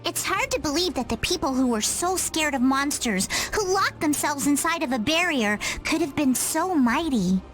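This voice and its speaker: A woman's voice: high-pitched, lively intonation, moderate pace, hardly any pauses, a bit bright, faint music behind. An actress voicing a plucky, earnest and slightly bossy young girl in a video game. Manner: declarative, spirited.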